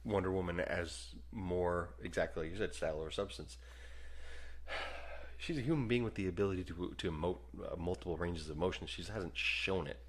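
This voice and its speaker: A man's voice: deep voice, normal speed. A man co-hosting a casual podcast, laid back and rambling, often chuckling between his points. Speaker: podcast co-host. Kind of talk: casual movie review chat.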